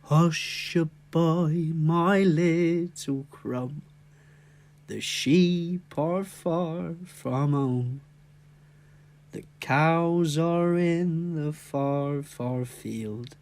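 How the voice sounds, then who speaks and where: A woman's voice: low voice, steady tone, very slow, darkish tone, full character voice. A woman acting in an audio play, expressively voicing assorted characters and remembered family. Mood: soft lullaby.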